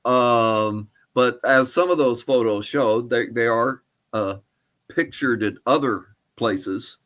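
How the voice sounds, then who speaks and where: An older man answering interview questions as a historian, speaking in a halting, thoughtful, tentative way. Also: mid-range voice, a bit bright. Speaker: archaeologist and historian guest. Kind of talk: interview answers about research.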